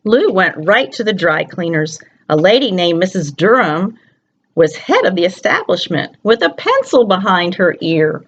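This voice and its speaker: A woman's voice: on the low side, big pitch swings, normal speed, dull audio. A woman storyteller reads a picture book aloud to young children, expressively, often putting questions to her listeners.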